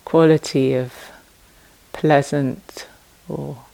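Gravelly, slow-speaking woman guiding meditation. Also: deep voice, fairly even intonation, low-quality recording. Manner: gentle, unhurried, long pauses between phrases. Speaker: meditation teacher. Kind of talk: guided meditation instruction.

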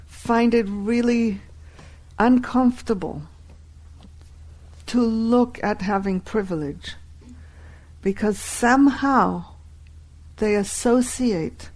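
A woman whose first language is Hebrew, teaching a live workshop and deliberately repeating key phrases. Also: a little high, a little mellow.

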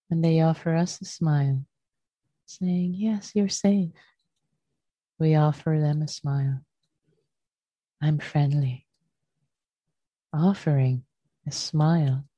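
Low, very soft-toned woman guiding meditation slowly. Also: slightly rough. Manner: gentle, unhurried, with long pauses between short phrases. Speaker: meditation teacher. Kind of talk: guided meditation. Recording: muffled, roomy sound.

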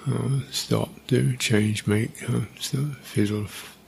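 A man guiding a meditation as a teacher, speaking in an unhurried, halting way with long pauses. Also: mid-range voice, fairly even intonation, gravelly, a little mellow, slight background noise. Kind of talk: guided meditation, unscripted.

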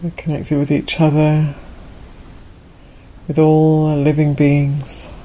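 A woman leading a guided meditation as a Buddhist teacher, unhurried, leaving gentle pauses between her phrases. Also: low voice, completely flat delivery, low-quality recording.

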